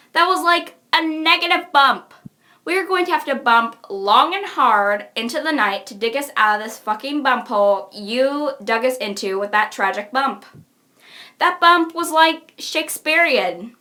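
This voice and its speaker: A woman voicing a cocky young wisecracker who rambles in deadpan fashion, for a cartoon character reel. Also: sits high, exaggerated voice.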